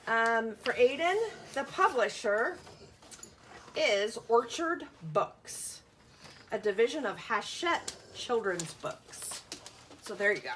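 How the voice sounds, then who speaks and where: A woman's voice: on the high side, big pitch swings, slow, fairly bright tone, slight background noise, poor audio. A woman teacher reading a picture book aloud, explaining things simply and putting questions to young children. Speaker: schoolteacher reading aloud to pupils.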